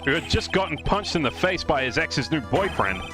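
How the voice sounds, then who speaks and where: Over clear game music, a man acts out a dogged young defense attorney from a courtroom game. His voice is lively and a little high, and he argues emphatically and earnestly. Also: moderate pace. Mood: firm, arguing. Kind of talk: live fan dub of a courtroom video game. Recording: music behind the voice.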